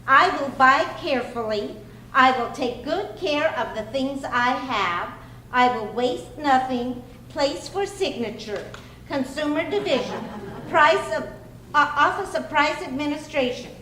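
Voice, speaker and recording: A woman's voice: sits high, lively intonation, unhurried, bright, forceful tone, heavily acted. A woman acting a sensible, fond, teasing wife in an old-time radio comedy, with a homey manner. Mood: earnest. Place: clubhouse stage performance. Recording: roomy live hall, faint noise.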